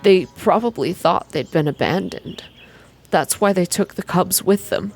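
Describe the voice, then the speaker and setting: A woman voicing a shy, wistful man telling stories in a quiet, hesitant, calming manner, in an ASMR game reading. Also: on the low side, a little slow, creaky, soft background music.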